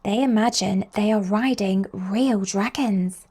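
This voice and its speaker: A woman reading picture books aloud to young children in an expressive, slow storytelling manner. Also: medium pitch, slightly rough. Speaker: children's storybook reader and host.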